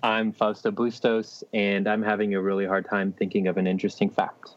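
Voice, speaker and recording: A man's voice: on the low side, monotone, a little fast, highs cut off. A man chatting casually as a co-host on a podcast. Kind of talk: casual chat.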